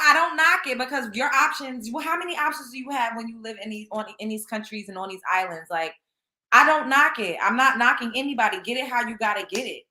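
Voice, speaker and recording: Brief: young woman host, blunt and scolding, high bright voice, echoey room. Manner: blunt, scolding, emphatic, repeats points. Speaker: show host. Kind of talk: opinionated commentary monologue.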